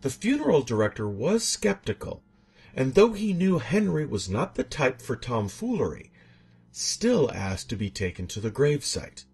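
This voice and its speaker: Male horror narrator, strongly raspy with an animated range, theatrical and suspenseful storytelling. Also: mid-range voice, normal speed, soft background music. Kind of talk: scripted dramatic narration of a horror tale.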